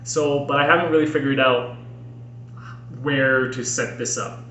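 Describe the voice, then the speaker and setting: An American man reads his own personal writing aloud in an earnest, expressive way with deliberate phrasing. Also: medium pitch. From Minnesota, United States. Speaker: podcast host and author reading own book. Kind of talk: audiobook-style personal reading. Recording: roomy echo with faint background noise.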